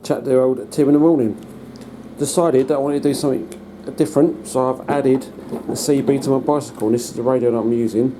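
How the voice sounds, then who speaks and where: A British man giving a casual hands-on demonstration as a hobbyist, in a halting, matter-of-fact way. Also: mid-range voice, fairly even intonation, warm tone, rough recording. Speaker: hobbyist presenter. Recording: noticeable background noise.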